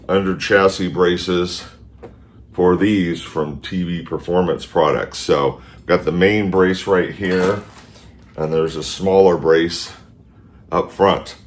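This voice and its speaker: A man's voice: sits low, a little hiss or hum. A man with a stuffed-up nose from allergies, hosting a casual how-to vlog in his garage and thinking aloud with fillers. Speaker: car channel host. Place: home garage beside a car.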